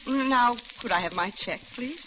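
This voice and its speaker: An actress playing a lonely, polite middle-aged widow who talks on hesitantly, in 1940s radio drama style. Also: female voice, on the high side, moderate pace, lightly acted. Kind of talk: 1940s radio acting, first-person narration and dialogue. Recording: muffled, very noisy old radio recording.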